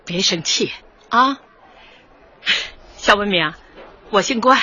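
An actress playing a folksy, chatty middle-aged neighbourhood peacemaker in a light comedy radio drama. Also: female voice, mid-range voice, expressive, takes it slowly, bright, forceful tone, breathy edge, dull audio, heavily acted. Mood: amused, soothing. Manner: folksy, chatty, exclamatory, conciliatory. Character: bubbly, peacemaking older neighbourhood woman.

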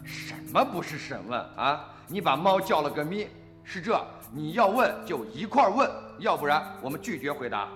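A man's voice: a little high, very animated, normal speed, a bit bright, long reverb tail, soft background music. A man playing a hot-headed, defiant farmer son in a radio drama, blustering and sarcastic. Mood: angry, blustering.

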